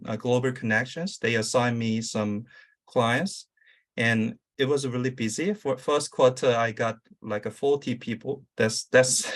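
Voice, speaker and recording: A career coach with a Korean accent speaks English carefully, stopping often to search for words. His pitch sits in the middle range for a man, and he keeps restarting his sentences while presenting to an online audience. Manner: halting, many uh fillers and restarts. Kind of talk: conference talk over video.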